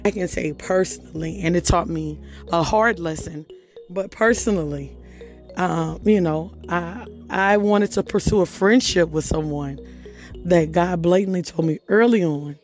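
A hoarse woman hosts a faith podcast in a relaxed, chatty way. Her voice sits fairly low and sounds mellow and dark. She reads scripture aloud between loose, conversational asides, with faint music underneath. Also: unhurried. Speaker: podcast host. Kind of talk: casual devotional podcast talk.

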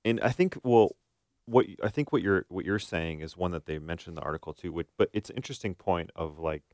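A man's voice: low-pitched, quick pace, a little mellow, no echo, studio quality. A man co-hosting a talk show as a therapist, discussing informally and thinking aloud as he goes. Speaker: podcast co-host, therapist. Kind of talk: casual discussion.

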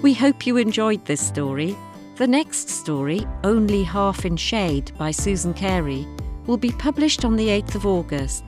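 A woman's voice: mid-range voice, expressive, unhurried. A woman announcing a story podcast from a script. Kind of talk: scripted podcast introduction and sign-off. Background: music.

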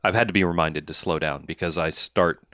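A man's voice: low voice, fairly quick, bright, forceful tone, no room sound, studio quality. A man co-hosting a casual podcast, joking around and giving emphatic advice that repeats phrases for effect. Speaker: podcast co-host.

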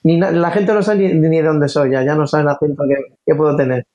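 A man's voice: on the high side, steady tone, fairly quick, warm tone, highs cut off. A middle-aged man from Galicia with a faded Galician accent mixed with other Spanish, an interview guest who talks earnestly and hesitantly, often repeating words. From Galicia, Spain. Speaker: interview guest, former football player. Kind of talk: casual conversational interview.

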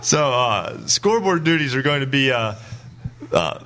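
A man hosting a high school sports radio show, chatty and laughing often, his voice a little rough from lack of sleep. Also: sing-song, unhurried, bright, forceful tone.

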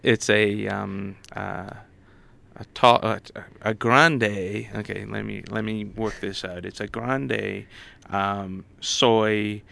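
A man with a fairly low voice and plenty of creak speaks as an interview guest. He answers in a casual, conversational style. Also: steady tone, takes it slowly, bright, forceful tone. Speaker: interview guest, digital arts college head. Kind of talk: casual chat, short thoughtful answers.

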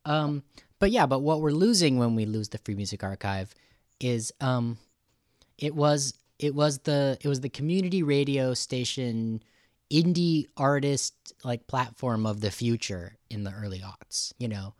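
Halting man hosting a casual interview. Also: mid-range voice, darkish tone, no echo, clean studio sound. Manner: halting, thinking aloud. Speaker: podcast host.

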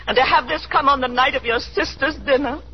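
Vintage radio role for a woman: fretful, pitying wife and mother, high-pitched lament, muffled broadcast recording. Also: fairly quick, natural voice. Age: middle-aged. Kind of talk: 1930s radio drama acting.

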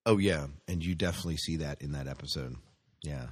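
A man co-hosting a podcast, talking casually about TV shows and often pausing to think aloud. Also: extremely low pitch, husky, no room sound. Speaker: podcast co-host leading the discussion. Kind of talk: casual TV discussion chat.

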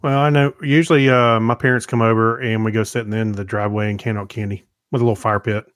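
A man with an American accent co-hosting a podcast, chatting casually in an informal, halting way. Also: lowish voice, flat delivery, clean tone, dry recording, clean studio sound. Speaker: podcast co-host.

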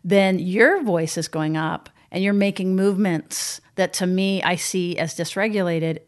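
A woman co-hosts a podcast and explains ideas through everyday examples. Her voice sits a little low, and she laughs easily between points. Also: measured pace. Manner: explanatory, laughs often while making points. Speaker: podcast co-host. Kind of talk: conversational podcast discussion.

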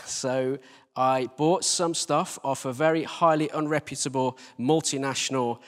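A man's voice: medium pitch, fairly even intonation, measured pace, fairly bright tone. A middle-aged man preaching through personal anecdote, in a conversational, self-deprecating way, recorded live in a church hall. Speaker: church team leader preaching. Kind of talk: anecdotal sermon. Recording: reverberant hall.

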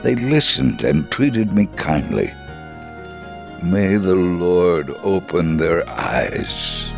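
A man's voice: sits low, lively intonation, extremely slow, vocal fry, soft background music. A man reading a first-person story in a dramatic, scripted storytelling style, acting out the dialogue expressively. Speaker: narrator, reader of a radio story segment.

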